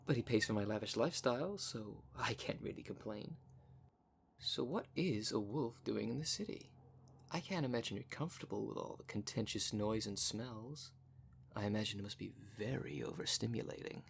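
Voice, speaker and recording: A man's voice: on the low side, normal speed, a little hiss or hum. A man voicing a vain, flirtatious, snobbish werecat socialite in a scripted roleplay spoken to the listener, with a pompous, haughty manner. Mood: boastful, flirtatious. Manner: pompous, haughty, smooth-talking. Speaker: voice actor in audio roleplay.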